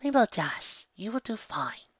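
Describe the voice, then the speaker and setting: Fussy, proper older-sister mare for fan fiction, man in falsetto range, high pitched, bright, lo-fi. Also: very animated, a little slow, slightly rough, no room sound, full character voice. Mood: reassuring. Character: prim, fussy female pony, protective older sister.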